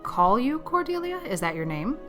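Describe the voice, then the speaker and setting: A woman voicing a stern older farm woman in an audiobook, curt, brisk and unsentimental. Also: a little high, sing-song, pushed and bright, faint music behind. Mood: puzzled, unsympathetic. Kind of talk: audiobook character acting.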